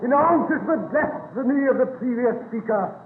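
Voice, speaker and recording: A man's voice: very high voice, normal speed, roomy sound. An actor playing a pompous, indignant clergyman declaiming at a convention in a 1930s radio drama. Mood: righteous indignation. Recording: tinny, noisy 1930s radio recording.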